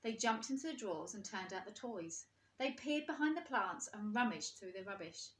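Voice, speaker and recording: A woman's voice: medium pitch, animated, moderate pace, slight background noise. A woman reading a storybook aloud to young children like a teacher, expressively and with questions for her listeners. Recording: roomy, echoing indoor sound.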